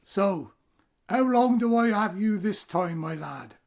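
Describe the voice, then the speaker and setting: A man's voice: high-pitched, animated, slow, darkish tone, heavily acted. Actor as a hearty old country merchant father. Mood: fond, jovial. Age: older. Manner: folksy, hearty country speech. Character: hearty, well-off older island merchant, doting father. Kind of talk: audio drama dialogue.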